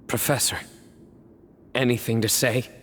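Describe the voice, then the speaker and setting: A man's voice: medium pitch, steady tone, unhurried, fairly bright tone, faint music behind, lightly acted. An actor playing a serious, watchful younger man in a video game, speaking firmly and directly. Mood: probing.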